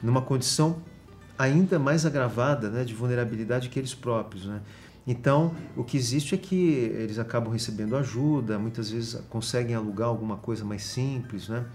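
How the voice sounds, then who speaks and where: A Brazilian man, a guest professor, explaining in an interview with frequent pauses and restarts. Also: mid-range voice, darkish tone, faint music behind. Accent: Brazilian Portuguese. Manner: explanatory, many fillers and restarts.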